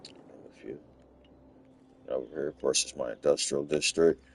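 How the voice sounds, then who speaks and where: Very deep-voiced relaxed gamer. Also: male voice, a little slow, gravelly, slight background noise. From Los Angeles, USA. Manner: relaxed, rambling, repeats words, chuckles. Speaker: gaming channel host. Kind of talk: casual gameplay walkthrough commentary.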